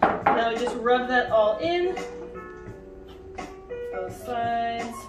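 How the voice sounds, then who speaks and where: High-pitched young woman, slow and roomy. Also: expressive. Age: young adult. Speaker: home cook hosting a cooking video. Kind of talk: casual cooking demonstration. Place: home kitchen, indoors. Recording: roomy echo, distant mic, background music. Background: music.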